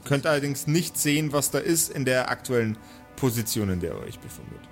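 A man's voice: medium pitch, animated, fairly quick. A man acting as game master, improvising scene descriptions and banter for a tabletop role-playing game, with a dramatic way of setting scenes. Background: music.

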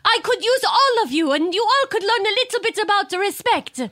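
A woman's voice: extremely high pitch, animated, a little fast, bright, forceful tone, heavily acted. A woman voicing a lost female flamingo in a cartoon who speaks formally, without contractions.

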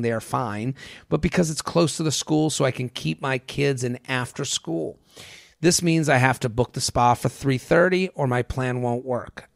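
A man's voice: medium pitch, gravelly, no echo, clean studio sound. A man hosting a podcast in a casual, comedic advice-giving style, riffing and repeating phrases. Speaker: podcast host. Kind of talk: casual comedic advice chat, sometimes reading listener emails.

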